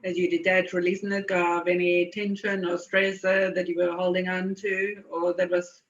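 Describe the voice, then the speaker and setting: A woman slowly guiding a meditation in a soothing, unhurried way, with lingering pauses. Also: lowish voice, little pitch movement. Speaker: guided meditation leader. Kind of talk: slow guided meditation. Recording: dull, echoey room recording.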